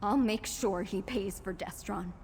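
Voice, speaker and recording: An actress playing a fiercely determined, grieving young woman in a fantasy video game, intense and halting in her delivery. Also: mid-range voice, background noise, lightly acted. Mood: vengeful resolve. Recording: reverberant, faint background ambience.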